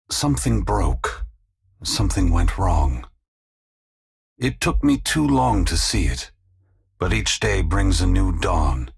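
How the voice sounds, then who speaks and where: Deep, gravel-throated weary god. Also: male voice, full character voice. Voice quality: gravelly, husky. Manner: slow, measured, philosophical, formal. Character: weary, compassionate male god, grave and solemn. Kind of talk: video game voice acting, dramatic dialogue.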